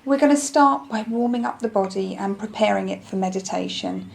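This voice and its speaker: A woman leading a guided meditation in a gentle, soothing, unhurried manner, with phrases that trail off softly. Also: medium pitch. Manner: soothing, gentle, unhurried instruction with soft breathy phrase endings.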